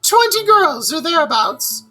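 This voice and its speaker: Storybook character: boastful toad disguised as a washerwoman, female reader. Extremely high, shrill falsetto, wheedling, swooping theatrically. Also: very animated, a little slow, bright, forceful tone, faint music behind, exaggerated voice, rough recording. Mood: airy boasting. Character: boastful male toad faking a plaintive old washerwoman. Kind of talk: children's storybook read-aloud.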